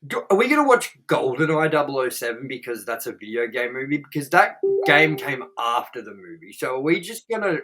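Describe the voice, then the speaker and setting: A man's voice: mid-range voice, big pitch swings, a little fast, hardly any pauses, bright, forceful tone. A man co-hosting a podcast, talking in a rambling, casual way, full of fillers and swearing. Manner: rambling, filler-heavy, frequent "like" and "you know what I mean". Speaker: podcast co-host. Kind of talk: casual chat, swearing freely.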